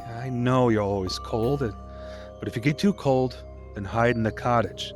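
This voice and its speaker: An actor playing a protective, guilt-ridden deputy father in an audio play, speaking tenderly and haltingly with a stern edge. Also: medium pitch, music in the background, lightly acted. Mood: protective, instructing. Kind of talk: dramatic audio theatre acting.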